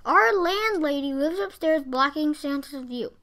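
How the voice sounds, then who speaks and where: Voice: sits high, expressive, measured pace, pushed and bright, full character voice. A performer playing a serious, anxious young child in a radio play, earnest in manner. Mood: worried. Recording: music behind the voice.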